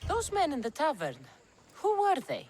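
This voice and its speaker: An actress playing a bold, determined mercenary in a video game cutscene, with a firm, direct manner. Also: female voice, sits high, wildly swooping pitch, normal speed, darkish tone, a little hiss or hum. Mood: exasperated. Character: bold, commanding, determined female mercenary adventurer.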